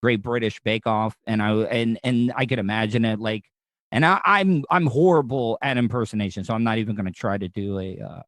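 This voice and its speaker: A man's voice: lowish voice, a little fast, a bit bright, no room sound. Rambling American man hosting, dry sound. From United States. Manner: rambling, talks in looping asides. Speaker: podcast host. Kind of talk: casual interview chat.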